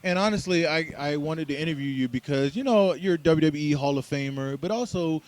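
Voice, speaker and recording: A male interviewer who asks his questions in a loose, chatty way, full of slang. His voice sits a little higher than most men's. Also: fairly quick, a little mellow. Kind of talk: casual interview talk.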